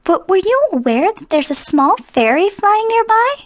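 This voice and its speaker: High, polite young fantasy maiden. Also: female voice, sing-song, moderate pace. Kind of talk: scripted game dialogue, studio outtakes.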